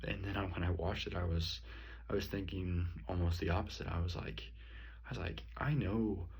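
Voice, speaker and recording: Slow, halting young man with a deep voice. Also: steady tone, darkish tone, husky. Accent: rural twang. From rural area. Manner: slow and halting, many ums and restarts. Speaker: student. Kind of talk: spoken self-reflection on a speech. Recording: dull lo-fi recording.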